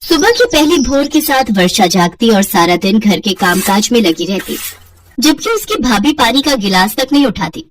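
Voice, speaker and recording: A woman storyteller with a very high voice narrates a family moral tale. Her delivery is scripted story narration. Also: very animated, normal speed, background noise.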